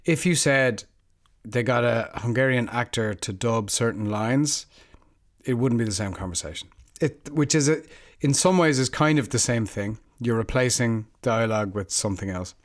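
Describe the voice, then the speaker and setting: Male podcast co-host, medium pitch, reflective and hedging, conversational. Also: normal speed. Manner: thinks aloud, hedging with frequent fillers. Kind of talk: casual podcast discussion.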